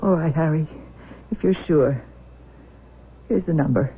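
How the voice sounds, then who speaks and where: Worried middle-aged mom, old radio drama, breathy rough voice, thin phone-like sound. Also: female voice, low voice, unhurried, heavily acted. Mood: pleading, reluctant. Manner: hesitant, fretful. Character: anxious, guilt-ridden middle-aged adoptive mother. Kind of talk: 1970s radio drama acting.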